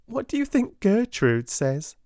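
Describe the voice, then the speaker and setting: A man's voice: sits high, big pitch swings, unhurried, darkish tone, dry recording, studio quality, pitched above the performer's own voice, exaggerated voice. A man voicing a giggly, gossipy, teasing schoolgirl in a storybook. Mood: giggly gossip.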